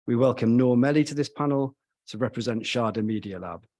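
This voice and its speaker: Man moderating a panel, measured. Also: medium pitch, darkish tone. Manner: measured, formal phrasing.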